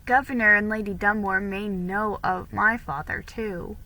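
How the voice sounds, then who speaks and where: Woman voicing a nervous, bright-voiced young girl. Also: medium pitch, steady tone, measured pace, rough recording. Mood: worried.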